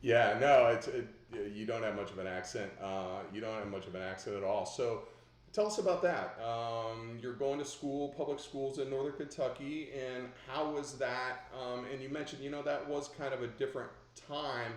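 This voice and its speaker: A man's voice: medium pitch, normal speed, echoey room. A man hosting a video call interview, asking long rambling questions with many restarts. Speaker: host.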